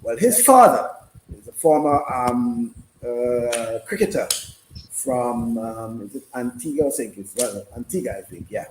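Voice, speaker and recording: A man hosting a casual live sports discussion, speaking haltingly and repeating himself. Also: mid-range voice, animated. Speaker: sports radio host. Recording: roomy, noisy room sound.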